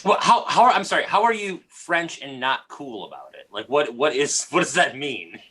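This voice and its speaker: Man, bright and animated, teasing questions, slightly high voice. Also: moderate pace, breathy edge. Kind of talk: casual chat.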